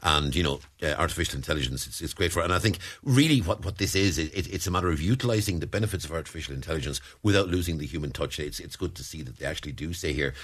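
A man hosting a local radio show, chatting quickly and often repeating words as he starts a thought. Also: a bass voice, lively intonation, a bit bright, breathy edge. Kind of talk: radio chat. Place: radio studio.

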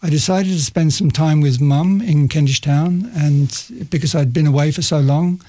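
A man's voice: a little high, normal speed, dark tone. A middle-aged man telling stories about his career as an interview guest in a loose, self-interrupting way, with frequent laughs. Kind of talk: casual conversational storytelling.

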